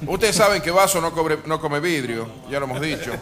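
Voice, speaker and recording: A man's voice: fairly high pitch, lively intonation, brisk, fairly bright tone. A man co-hosting a comic live radio show, talking in a wry, mock-formal way. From Argentina. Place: bar, live radio broadcast before patrons.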